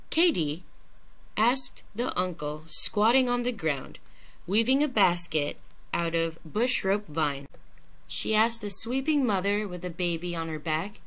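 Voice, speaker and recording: Bright, expressive woman reading a storybook. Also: mid-range voice, low-quality recording. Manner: dramatic, clearly phrased storytelling. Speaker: audiobook reader of a children's picture book. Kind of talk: expressive scripted storybook narration.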